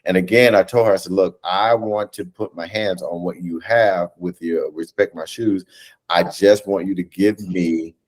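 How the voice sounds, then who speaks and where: Low-voiced American man telling a story. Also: fairly even intonation, moderate pace. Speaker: theatre director and writer, interview guest. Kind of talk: conversational storytelling in an interview. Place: indoors, on a video call.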